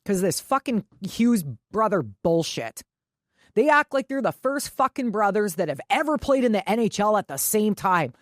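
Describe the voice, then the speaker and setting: A woman's voice: mid-range voice, sing-song, normal speed, no echo. Blunt, salty woman talking sports, dry sound. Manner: blunt, salty, heavily swearing, indignant. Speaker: podcast co-host. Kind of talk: casual, opinionated sports talk.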